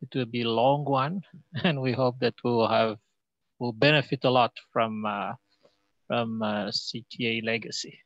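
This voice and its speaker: A man's voice: medium pitch, fairly even intonation, normal speed, a bit bright, dry recording, dull audio. A man introducing himself casually on a video call, hesitant and friendly, as a specialist would to colleagues. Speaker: ICT and knowledge management specialist.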